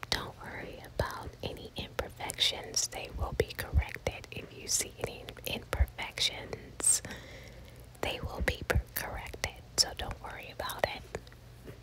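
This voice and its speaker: A woman speaking in a soft, breathless whisper with no voiced tone at all. She moves slowly and unhurriedly, and her whispered phrases rise and fall widely. She sounds close to a handheld phone. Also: extremely high pitch, low-quality recording. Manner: soft unvoiced whisper, slow and admiring. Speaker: ASMR house tour host. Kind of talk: whispered ASMR house tour. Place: inside an empty house, walking through rooms. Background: rain sounds.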